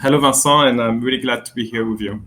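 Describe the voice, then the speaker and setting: A man with a French accent speaks as a guest in an online talk, pitched in an ordinary middle range. He weighs each point and often stops on fillers as he searches for words. The sound is that of a video call. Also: steady tone, pushed and bright. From France. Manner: measured, hesitant with many fillers. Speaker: guest insurance executive. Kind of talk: webinar interview.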